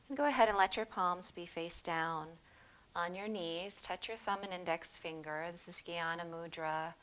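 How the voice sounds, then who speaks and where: Yoga teacher, female, lowish voice, unhurried soothing guided instruction. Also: smooth. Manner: slow, soothing, calm guiding cues.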